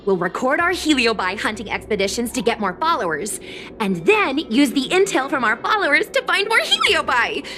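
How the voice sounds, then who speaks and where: A woman's voice: sits high, very animated, moderate pace, music in the background. A woman voicing a chatty, confident young girl influencer in an anime game, breezy and persuasive. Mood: boastful, persuasive. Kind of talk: anime game dialogue acting.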